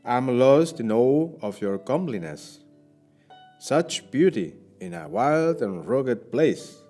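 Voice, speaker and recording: An actor playing a shipwrecked Spanish captain in an audio play, a courtly flatterer with a florid, theatrical way of speaking. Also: male voice, medium pitch, takes it slowly, faint music behind. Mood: flattering, theatrical. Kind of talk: audio play acting and narration.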